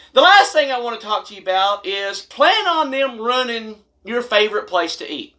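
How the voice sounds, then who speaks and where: An American man with a very bright, forceful voice hosts a humorous storytime vlog. He talks straight to his audience in a blunt, folksy manner and leans hard on his points. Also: high voice. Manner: folksy, blunt, emphatic, animated. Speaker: video host telling stories and advice. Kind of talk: humorous storytime monologue to camera.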